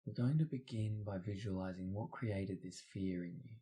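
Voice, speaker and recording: A man's voice: on the low side, moderate pace, dark tone, poor audio. A man narrating a scripted guided meditation in a soothing, instructional manner.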